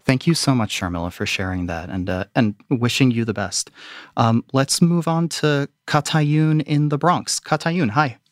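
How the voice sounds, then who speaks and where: A man guest-hosting a call-in radio show, gently asking callers questions. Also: mid-range voice, normal speed, no echo, studio quality.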